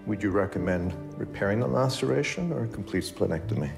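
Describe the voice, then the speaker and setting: A measured TV drama doctor with a low, gravelly voice. He talks through a surgery in a calm, clinical way. Clear music plays under the clip. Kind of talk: TV drama acting.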